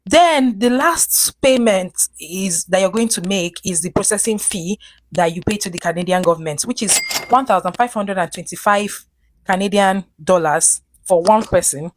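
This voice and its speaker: Measured woman listing costs plainly. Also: mid-range voice. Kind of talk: advice vlog. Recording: background noise.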